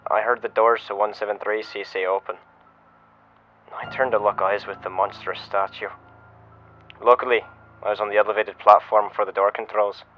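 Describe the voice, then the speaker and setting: A man playing a shaken security officer, giving a measured first-person incident report as a scripted audio log. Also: lowish voice, natural voice. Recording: muffled lo-fi radio-style sound, music behind. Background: music.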